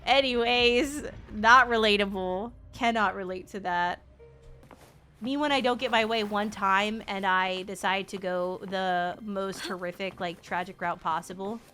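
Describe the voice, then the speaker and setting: A young woman streamer giving casual game reaction commentary in an expressive, sarcastic, slangy and sweary way. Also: medium pitch, a little slow, soft background music. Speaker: VTuber streamer.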